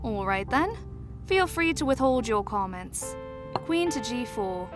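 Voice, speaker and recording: Shrewd, high-voiced woman mentor played by an actress. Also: very animated, measured pace, over music. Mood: sly, teasing. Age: adult. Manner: probing, composed, knowing. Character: shrewd, calm, teasing woman mentoring troubled teenagers. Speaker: voice actor in a horror audio drama. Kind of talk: audio drama dialogue.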